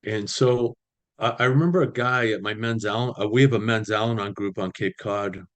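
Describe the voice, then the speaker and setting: A man, sharing his recovery story at a meeting over a video call, halting with many fillers. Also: on the low side. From Boston area, US. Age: 60s. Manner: halting, many ums and you-knows, restarts. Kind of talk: personal recovery share.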